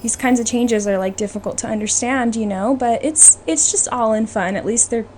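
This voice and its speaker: A woman's voice: on the high side, normal speed, darkish tone. A woman hosting a podcast, chatting casually and giving her opinions in an informal, conversational way. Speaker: podcast host. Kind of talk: casual chat about films. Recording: noisy room ambience behind the voice.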